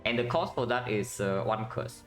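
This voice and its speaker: A man's voice: fairly low pitch, animated, normal speed, faint music behind. Vietnamese-accented man streaming a card game. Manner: thinks aloud, tag questions "yeah?", chats with viewers. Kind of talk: casual live-stream commentary.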